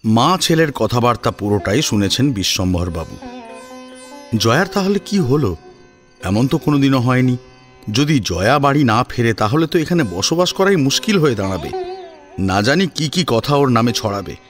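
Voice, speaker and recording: A male narrator tells a dramatic story with suspense and feeling. His mid-pitched voice moves animatedly up and down, over faint background music. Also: sing-song, moderate pace.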